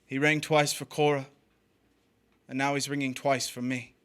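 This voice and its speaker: A man's voice: medium pitch, flat delivery, normal speed, a bit bright, lightly acted. An actor playing a doomed, resigned man in a 1940s-style radio drama, delivering a brooding confessional monologue. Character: doomed noir man narrating his fate, resigned.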